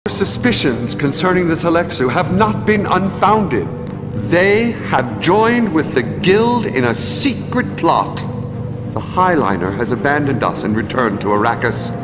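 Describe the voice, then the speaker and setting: Casting: sly, menacing game-villain advisor, highish swooping voice, theatrical and deliberate. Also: male voice, lively intonation. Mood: grave. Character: sly, menacing male Mentat advisor, servile to his lord. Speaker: scheming advisor to a villainous baron. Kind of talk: dramatic video game cutscene acting. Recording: long reverb, loud music underneath.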